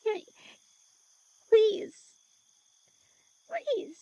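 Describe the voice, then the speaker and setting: A woman playing a soft-hearted, pleading young vampire in an audio drama, speaking haltingly and emotionally. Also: very high voice, animated, highs cut off, exaggerated voice. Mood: desperate. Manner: halting, emotional, breaks sentences off. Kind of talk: immersive audio drama acting.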